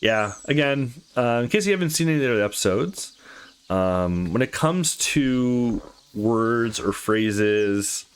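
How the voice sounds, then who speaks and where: A man's voice: medium pitch, animated, a little slow, clear, resonant tone. A man giving casual commentary as he hosts a read-aloud gaming playthrough. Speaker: let's play host reading a visual novel aloud.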